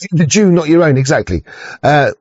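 A man rambling on his own livestream, cursing often and tripping over his words as he restarts. His voice sits a little high for a man. The mic sounds dry and slightly muffled. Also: animated, moderate pace, no echo. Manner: heavy swearing, frequent stammered restarts. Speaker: livestream host. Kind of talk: casual rambling monologue.

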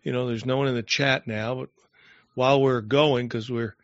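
A man's voice: mid-range voice, fairly even intonation, moderate pace, no room sound, muffled recording. A man hosting a live online show in casual chat, loose and rambling, often trailing off mid-sentence.

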